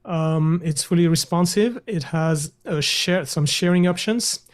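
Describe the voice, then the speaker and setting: A man, a guest developer, walking through a screen-share software demo over a video call, hesitant with many fillers and restarts. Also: a little high, measured pace.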